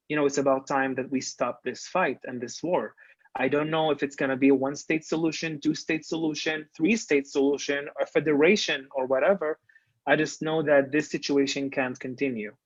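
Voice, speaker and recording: A young man from Lebanon talks as a podcast guest, often pausing on ums and starting sentences over. His voice sits at an ordinary pitch. The sound is dry and a bit muffled. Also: no room sound. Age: 20s. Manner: hesitant, many ums and restarts, non-native grammar. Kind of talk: casual interview talk.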